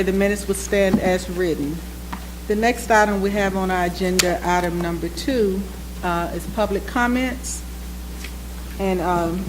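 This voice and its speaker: A woman's voice: medium pitch, background noise. Formal woman chairing a meeting. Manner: measured, formal, reads rules aloud. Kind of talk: formal procedural reading. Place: public meeting chamber.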